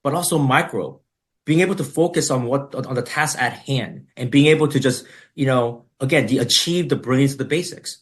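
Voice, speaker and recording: A middle-aged man, a podcast guest, telling his story in relaxed conversation, with many fillers and "right?" tags. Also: medium pitch, brisk.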